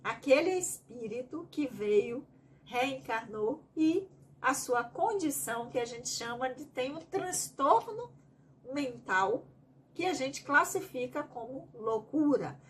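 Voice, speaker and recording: A woman's voice: high-pitched, lively intonation, slow, slight background noise. A woman with a Bahian Brazilian accent, leading a spiritist study group; she reads each question aloud and then explains it at length in her own words. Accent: Bahian Brazilian Portuguese.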